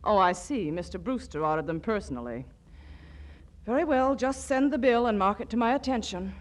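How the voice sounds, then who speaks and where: An actress playing a sensible, worried young fiancée in 1940s radio comedy, earnest and exasperated. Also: female voice, medium pitch, lively intonation, a little fast, slight background noise, close to an everyday voice.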